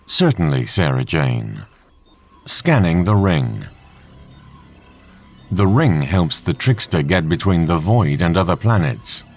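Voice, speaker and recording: Man doing a computer character for audio drama, deep voice, slow, formal n obliging. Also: big pitch swings, exaggerated voice. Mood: calm, obliging. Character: courteous, composed male supercomputer.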